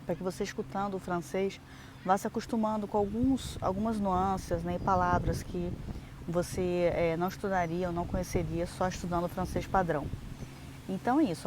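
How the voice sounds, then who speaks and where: A young adult Brazilian woman giving chatty, explanatory advice in a casual vlog, with lots of fillers and restarts. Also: lowish voice. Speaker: vlogger. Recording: noisy outdoor recording.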